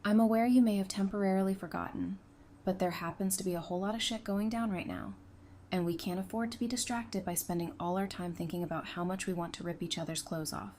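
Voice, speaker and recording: A woman's voice: medium pitch, fairly even intonation, fast, darkish tone, slight background noise, lightly acted. An actress playing a sensible, affectionate, plain-spoken woman in an audio drama. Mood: frank. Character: frank, teasing, sensible woman, affectionate with her sweetheart.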